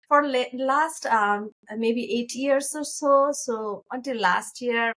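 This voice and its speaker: A woman's voice: on the high side, takes it slowly, a bit bright. Middle-aged Nepali-accented woman, chatty interview guest. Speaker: guest, physician. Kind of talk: casual interview chat.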